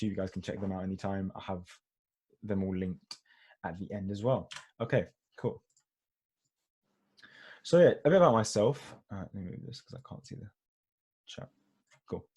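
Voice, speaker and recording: A man's voice: low-pitched, dark tone, breathy edge. A young man, a dental student from Egypt, giving a casual online webinar with many fillers over a computer mic. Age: young adult. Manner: chatty and informal, many fillers like "like" and "you know". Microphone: computer mic on a video call.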